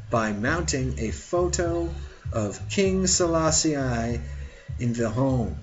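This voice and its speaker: A man reads spiritual teachings at a slow, measured pace, pausing between short phrases. His pitch is average for a man. The recording is dull and lo-fi, with faint music playing behind him. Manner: deliberate, broken into short phrases. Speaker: reader. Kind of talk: slow read-aloud of religious teachings with brief asides.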